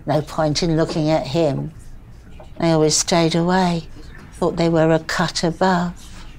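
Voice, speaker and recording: An actress playing a grieving older widowed mother in a drama, rambling and plaintive, with deadpan wit and stubborn pleading. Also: low-pitched, measured pace. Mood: bitter, wry.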